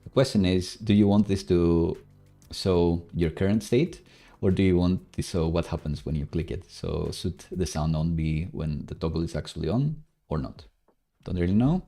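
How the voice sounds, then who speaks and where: A man giving casual live coding commentary, halting as he thinks aloud while he works. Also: low voice, dark tone, faint music behind.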